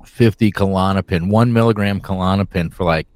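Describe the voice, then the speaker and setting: A man's voice: deep voice, normal speed, dry recording. A man on a talk show panel, chatting casually and bluntly with swearing over an internet call. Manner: blunt, profane. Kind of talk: casual chat. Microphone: remote internet call.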